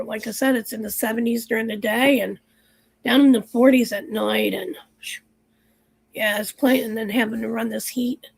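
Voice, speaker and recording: A woman hosting a livestream, chatting casually and rambling with viewers while her voice is going hoarse. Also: fairly high pitch, a little mellow, rough recording. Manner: chatty, rambling, lots of fillers. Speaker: livestream host. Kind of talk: casual chat with live chat viewers.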